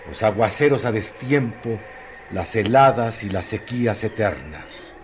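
Slow, lowish-voiced male radio narrator. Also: a little hiss or hum. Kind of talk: dramatized literary narration for radio drama.

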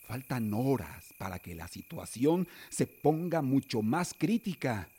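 Stern, husky Mexican father. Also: mid-range voice, animated, a little slow, mellow. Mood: grave, worried. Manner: authoritative, scolding. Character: stern, fearful middle-aged father of a well-off family. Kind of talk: Spanish-language radio drama acting.

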